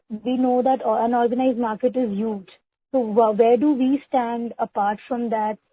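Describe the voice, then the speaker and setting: A woman's voice: on the high side, slightly rough, dry recording. A woman with an Indian accent politely putting questions to company executives as an analyst on a business call. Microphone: conference call line. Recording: dull, lo-fi conference call audio.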